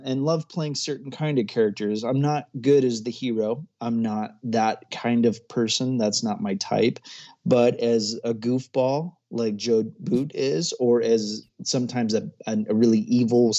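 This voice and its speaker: A man's voice: mid-range voice, a little slow, mellow, dull audio. A man in his thirties, a filmmaker guest in a casual interview, chatty and often laughing as he talks. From grew up overseas, American parents.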